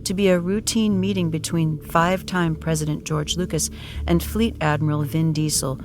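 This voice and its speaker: A woman's voice: low-pitched, steady tone, normal speed, noisy background. A woman reading scripted narration for an audio drama in a storytelling style.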